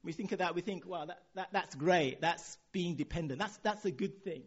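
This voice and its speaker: A man from Nigeria with a Nigerian accent, preaching a sermon in a relaxed way, chuckling now and then. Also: high-pitched, moderate pace, husky, highs cut off.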